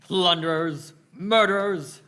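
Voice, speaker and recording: A man's voice: sits high, takes it slowly, bright, forceful tone, heavily acted. A man playing a stern ancient Egyptian military leader in a radio play, barking declamatory orders. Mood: outraged, resolute. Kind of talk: amateur radio play performed live.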